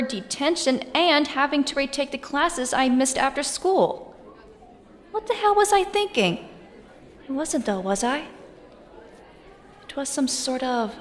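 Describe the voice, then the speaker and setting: An actress plays a defensive high-school girl in an audio drama. The voice is high and bright with an unhurried delivery, exasperated and sarcastic. It rings in a long reverb. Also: heavily acted. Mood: brooding.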